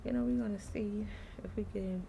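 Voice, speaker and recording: Raspy, laid-back woman vlogger. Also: mid-range voice, fairly quick, a little hiss or hum. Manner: relaxed, chatty, slangy. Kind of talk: casual travel vlog chat.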